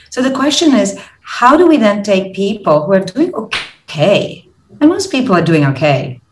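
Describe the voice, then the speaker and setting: A low-pitched woman from Croatia explains her work as a lecturer in an earnest, thoughtful podcast interview. She pauses on fillers as she builds her points. Her voice carries a roomy echo. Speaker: guest, positive psychology lecturer and consultant. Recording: roomy echoey sound.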